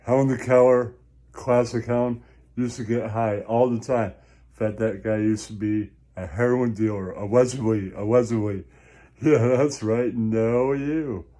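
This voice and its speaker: A man hosting a comedy show in a slow, relaxed voice. He rambles in a crude, mocking way and circles back to the same catchphrases. His tone stays mellow and mid-pitched. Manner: crude, mocking, repetitive, laughs at own jokes. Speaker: comic host of an online show. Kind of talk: rambling comic monologue with repeated catchphrases.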